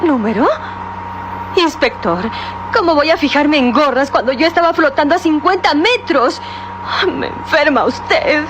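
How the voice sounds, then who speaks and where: An actress playing a frightened young heiress in a radio drama, flustered and defensive. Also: female voice, high voice, lively intonation, unhurried. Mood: worried. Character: frightened young rich heiress, nervous and indignant. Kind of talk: 1960s radio drama acting. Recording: noisy old radio drama recording.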